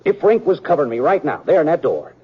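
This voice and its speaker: A man's voice: fairly high pitch, very animated, quick pace, breathy edge. An American man playing a weary, troubled sheriff in a radio western, plain-spoken and folksy. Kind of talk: 1950s radio western acting. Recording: muffled 1950s radio recording, faint noise.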